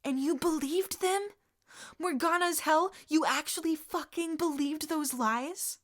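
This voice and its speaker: A woman voicing an angry, defiant teenage boy wizard who shouts and swears in exasperation. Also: high-pitched, unhurried, pitched above the performer's own voice. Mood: incredulous.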